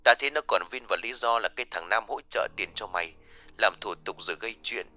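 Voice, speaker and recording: A man voicing an older village aunt, blunt and gossipy, who talks in a hushed, confiding way and rushes through her gossip. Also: lowish voice, no echo, faint music behind.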